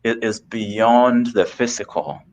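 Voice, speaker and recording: A man from Kenya, a guest on a live video call, talking slowly and earnestly about spiritual ideas. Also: medium pitch. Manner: slow, searching and earnest. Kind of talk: reflective spiritual conversation.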